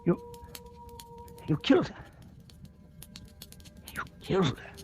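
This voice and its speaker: An actor playing a jealous, falsely genial scientist husband in 1940s radio drama style. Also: male voice, slow pace, a little mellow, faint music behind, close to an everyday voice. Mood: shocked.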